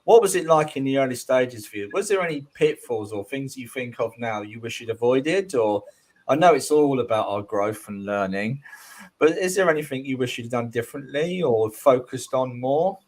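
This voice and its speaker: A man's voice: medium pitch, lively intonation, normal speed, a little mellow. A man hosting a spiritual talk show, asking his guest long, wandering questions full of restarts, with a strained voice, on a video call from home. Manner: rambling questions with repeated starts. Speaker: podcast host interviewing a medium. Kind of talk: casual interview chat.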